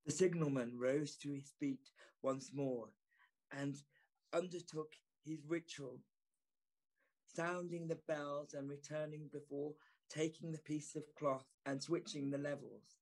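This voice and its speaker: An actor playing a gentleman who narrates a Victorian ghost story in the first person, grave, puzzled and formal. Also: a little high, steady tone, low-quality recording. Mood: grave, uneasy. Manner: deliberate, formal, literary. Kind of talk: dramatic first-person scripted narration, Victorian audio drama.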